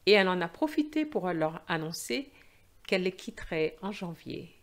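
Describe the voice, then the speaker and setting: A woman narrating a scripted story for language learners in a deliberate, carefully phrased and expressive way. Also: fairly low pitch, slight background noise.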